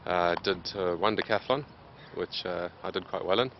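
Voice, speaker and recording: A man in his late twenties, answering interview questions in a plain, steady way with many pauses. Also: sits low, lo-fi sound, slight background noise. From Zimbabwe. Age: late 20s. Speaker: interviewed athlete.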